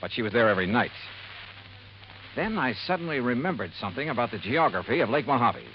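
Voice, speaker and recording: Brief: male actor as clipped hard-boiled 1950s radio investigator, very lively pitch, muffled vintage recording. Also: fast talker, a little hiss or hum, lightly acted. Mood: brisk, matter-of-fact. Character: sharp, steady male insurance investigator, hard-boiled narrator. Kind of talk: 1950s radio detective acting and narration.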